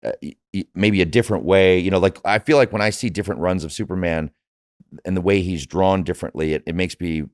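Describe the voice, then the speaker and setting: Deep-voiced man chatting casually. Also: quick pace, darkish tone, dry recording, studio quality. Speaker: voice actor in a discussion. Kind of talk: casual chat about favorite comics and films.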